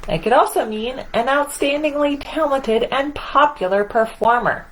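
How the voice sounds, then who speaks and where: A woman's voice: high-pitched, moderate pace, close to an everyday voice, rough recording. A woman voicing a cocky, self-important Sun in a children's picture-book read-aloud, bragging with theatrical emphasis. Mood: proud, boastful.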